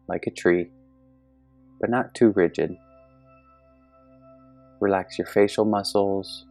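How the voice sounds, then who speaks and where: A man with a very low, slightly creaky voice guides a meditation in a calm, gentle manner. He gives measured instructions with long pauses between phrases, over soft background music. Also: no room sound. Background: soft meditation music with singing bowl tones.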